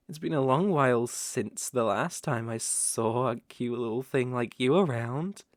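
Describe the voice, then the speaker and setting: A sly serpent creature, acted by a performer of ambiguous voice in an intimate audio roleplay, speaking extremely slowly in a close, dry recording. The naga coaxes and teases like a predator charmed by small prey, with a smooth drawl and soft chuckles. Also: mid-range voice, no room sound. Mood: sly, wistful. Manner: slow, teasing, coaxing drawl with soft chuckles. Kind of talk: roleplay ASMR acting.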